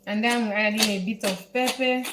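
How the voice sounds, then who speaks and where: A Dutch woman with a Dutch accent, speaking English as her second language, hosting a live cooking tutorial from her home kitchen in an unhurried, halting way that repeats words as she works. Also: on the high side, fairly even intonation, reverberant, background noise. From Netherlands. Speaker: home cook host.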